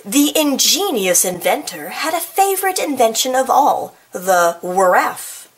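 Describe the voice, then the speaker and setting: A woman reading a children's picture book aloud in an expressive, playful way, with casual, chatty asides. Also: a little high, unhurried, slight background noise. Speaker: storybook reader.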